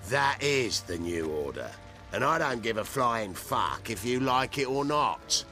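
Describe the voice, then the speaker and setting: An actor playing a brutish soldier left in command in a fantasy game, sneering and swaggering. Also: male voice, medium pitch, big pitch swings, unhurried, over music. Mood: defiant, scornful. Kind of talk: game cutscene acting.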